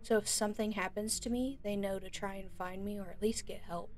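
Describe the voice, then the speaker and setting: A woman playing a frightened but defiant young female captive in an audio roleplay, pleading and indignant in a halting way. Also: medium pitch, steady tone, lightly acted.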